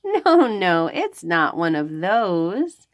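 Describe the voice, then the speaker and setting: A woman's voice: fairly low pitch, very animated, very slow, full character voice. A woman voicing a small storybook child, timid yet proud of being brave, who gives short, emphatic denials. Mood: dismissive.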